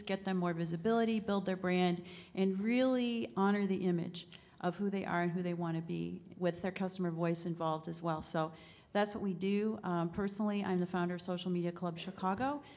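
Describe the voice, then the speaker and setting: A woman's voice: medium pitch, steady tone, brisk, a little mellow. A woman introducing herself on a conference panel in a friendly, upbeat way. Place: conference session room.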